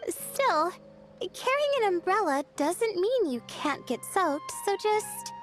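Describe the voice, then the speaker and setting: Soft timid sleepy anime game girl, really high voice, talks slow, music behind. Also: full character voice. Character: gentle, sleepy, timid young female student in fantasy.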